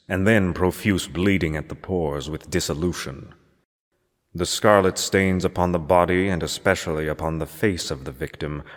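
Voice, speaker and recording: Deep-voiced man narrating in long reverb. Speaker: amateur reader of a horror story. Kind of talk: dramatic scripted narration of gothic literature.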